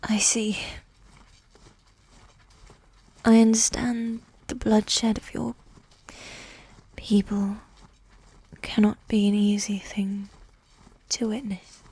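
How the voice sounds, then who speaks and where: Woman playing a slow, whispering evil queen. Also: medium pitch, flat delivery. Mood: gently persuasive. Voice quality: soft, breathy whisper. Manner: slow, measured, formal, with long pauses. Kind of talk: whispered ASMR roleplay.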